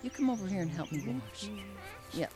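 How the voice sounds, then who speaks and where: A woman playing a gentle grandmother in an audio drama, coaxing a small child in short, repeated phrases. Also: mid-range voice, animated, a little fast, over music, lightly acted.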